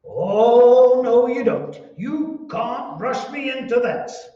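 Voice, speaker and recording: Man playing a high-pitched blustering pompous neighbor. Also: lively intonation, takes it slowly, full character voice. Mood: indignant, stubborn. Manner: pompous, indignant. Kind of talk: old-time radio comedy acting. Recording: echoey room, distant mic, dull sound.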